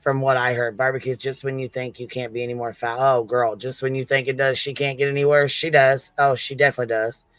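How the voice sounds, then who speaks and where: A man's voice: medium pitch, flat delivery, fast talker, hardly any pauses, a bit bright, clean tone, dry recording. Male live-stream host, casual and profane, medium voice, repeats himself for emphasis, close dry sound. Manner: blunt, heavily profane, exasperated. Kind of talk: casual true-crime reaction chat.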